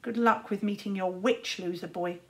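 A woman's voice: medium pitch, fairly even intonation, moderate pace, natural voice. A woman voicing a cruel, boastful boy wizard in a storybook, with a taunting, crowing manner. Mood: triumphant. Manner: jeering and crowing.

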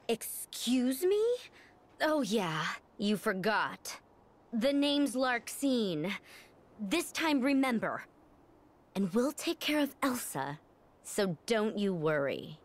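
A woman's voice: on the high side, sing-song, takes it slowly, long pauses, full character voice. A woman voicing a smug, taunting young villainess in a video game, with a sarcastic, condescending drawl. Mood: mocking. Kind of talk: video game cutscene acting.

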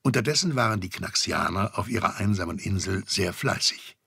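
A man's voice: low-pitched, animated, fairly quick, creaky, a bit bright, breathy edge, no echo, studio quality. A man narrating a scripted children's story with a wry, storytelling manner.

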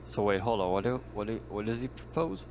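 A man's voice: on the low side, lively intonation, fairly quick, a little mellow. A man chatting casually in and out of character as a tabletop game player, on a noisy home microphone. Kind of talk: casual in-character roleplay chat.

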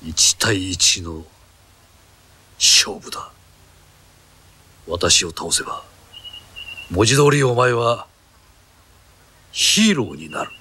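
Stern low-voiced man dubbing film roles. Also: animated, slow pace, pauses often, pushed and bright, husky. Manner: stern, commanding, menacing. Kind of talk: film dubbing, dramatic acting.

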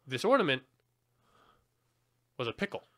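A man co-hosting a casual podcast, telling stories in an animated way and swearing freely. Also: medium pitch, normal speed. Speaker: podcast co-host.

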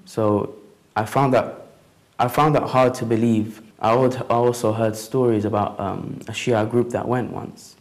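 A man's voice: mid-range voice, steady tone, measured pace, darkish tone. A young man of Iraqi and Lebanese heritage, interviewed in a studio, earnestly recalling what he had heard. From Iraq and Lebanon. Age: young adult. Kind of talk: casual recollection. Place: dark studio.

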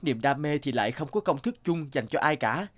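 A man voicing a kind, passionate young repairman in a cartoon, courteous and calm, with a Northern Vietnamese accent. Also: a little high, fairly quick. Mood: earnest. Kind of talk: animated cartoon dialogue.

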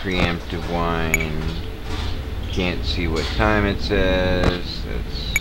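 Male gamer, low register, very unhurried muttered play-by-play, loud game soundtrack underneath. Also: steady tone. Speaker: gaming video host. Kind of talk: casual gameplay commentary, muttering to himself. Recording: game audio loud behind the voice. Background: loud video game music and sounds.

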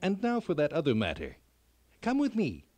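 Storybook elf, done by a man: old, small, sly but gentle, deliberate delivery, very animated pitch, dry close sound. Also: no echo. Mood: reassuring, instructive. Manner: measured, deliberate, solemn questioning. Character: tiny old male elf, cunning, gently commanding. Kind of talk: children's fairy tale read aloud.

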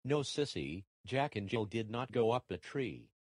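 An earnest young cartoon dinosaur, a big brother played by a man. His pitch moves in big lively swings, and the sound is dry and close. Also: unhurried, slightly rough, no room sound, exaggerated voice. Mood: earnest, playful. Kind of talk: children's cartoon dialogue.

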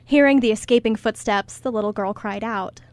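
A woman narrates a scripted story for a radio play. Her voice is a little high, and the sound is dry and close. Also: fairly quick, dry recording.

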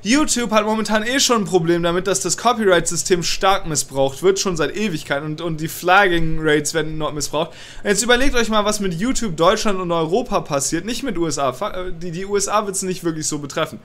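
A man's voice: high voice, brisk, hardly any pauses, a bit bright. A young man with a cold, ranting agitatedly and swearing while he reads a law text aloud and comments on it. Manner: agitated, swears, mixes in English words. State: has a cold. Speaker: political commentator. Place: small room indoors.

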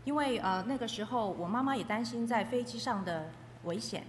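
Fast-talking woman interpreter in a hall. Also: fairly high pitch. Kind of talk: consecutive interpreting of a testimony, phrase by phrase. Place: echoey hall. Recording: echoey hall with faint noise.

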